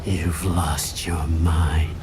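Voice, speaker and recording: Video game antagonist, taunting, theatrical fighter, very slow and swooping, over loud music. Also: male voice, rumbling low, flat delivery, full character voice. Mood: disbelieving. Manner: slow, mocking, theatrical delivery. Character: smug, taunting male enemy fighter, theatrical and cold. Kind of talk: dramatic video game villain acting.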